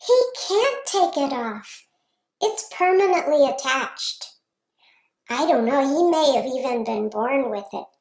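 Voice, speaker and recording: A woman's voice: high-pitched, lively intonation, takes it slowly, a little mellow, voice pushed up, full character voice. A woman voicing a chatty little girl on the phone, matter-of-fact and sing-song. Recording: roomy echo.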